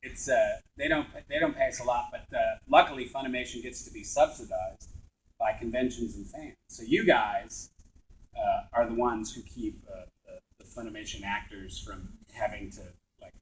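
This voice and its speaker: A man's voice: mid-range voice, normal speed, rough recording. A middle-aged man, a voice actor guest, chatting at a convention panel in a joking, self-mocking way and laughing often.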